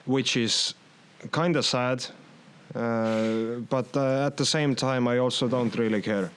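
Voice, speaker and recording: Smooth-voiced Estonian man, halting delivery. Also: mid-range voice, steady tone, a bit bright. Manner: halting, searching for words. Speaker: podcast host and musician. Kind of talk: casual conversational interview.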